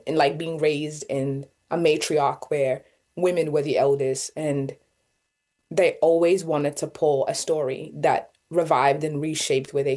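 A woman's voice: sits low, a little mellow. A young woman from Sudan whose first language is Arabic, speaking thoughtfully as an interview guest, with many fillers and restarts. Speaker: guest poet and artist. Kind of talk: reflective interview talk.

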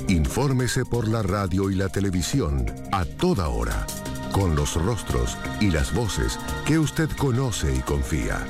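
A man reading a scripted, formal public service message as an announcer. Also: low-pitched.

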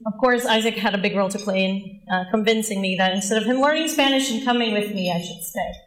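A woman's voice: mid-range voice, fast. Woman, forties, from the Dominican Republic, speaking earnestly to a room; mid-range voice, echoey space. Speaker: nonprofit leader. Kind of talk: presentation talk.

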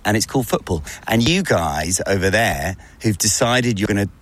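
An English man speaks in a distinctly deep voice. He banters casually in an interview. He tends to qualify himself and restart his points. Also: animated, moderate pace, fairly bright tone. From Britain. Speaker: interviewed fan.

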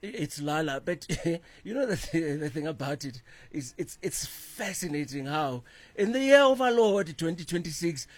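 A South African man co-hosting a morning radio show while sick with a cold, nasal and husky, joking and rambling. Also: fairly high pitch, animated, moderate pace, no room sound. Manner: jokey, self-pitying, rambling. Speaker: radio breakfast show co-host. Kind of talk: casual morning radio banter. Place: radio studio. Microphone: studio microphone.

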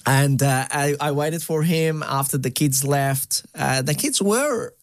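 A man's voice: fairly high pitch, slow, no room sound, clean studio sound. A middle-aged man from Egypt, whose first language is Arabic, telling a personal story as an interview guest, with many fillers and restarts.

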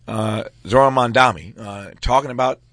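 A man's voice: medium pitch, moderate pace, fairly bright tone, no echo. A man hosting a political talk show, chatting in a folksy, conversational way. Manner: folksy, conversational, drops into slang. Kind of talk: casual political chat.

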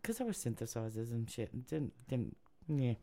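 A radio host chatting casually on air between songs, opinionated and quick to jump from one tangent to the next. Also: mid-range voice, sing-song, warm tone.

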